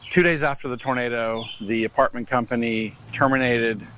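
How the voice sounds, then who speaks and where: A man's voice: mid-range voice, fairly even intonation, normal speed, background noise. A man recounting his own experience in a news interview. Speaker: interviewee, storm survivor. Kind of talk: interview soundbites.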